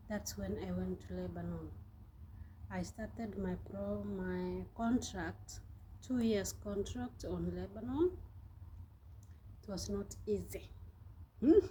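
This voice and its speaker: A woman's voice: medium pitch, dark tone, slight background noise, rough recording. A young woman from Kenya chatting casually to her vlog viewers, repeating herself and laughing now and then while she sweats in the heat. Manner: chatty, repeats and restarts phrases, laughs often. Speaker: vlogger. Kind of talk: casual vlog talk to camera. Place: hot room in the Gulf.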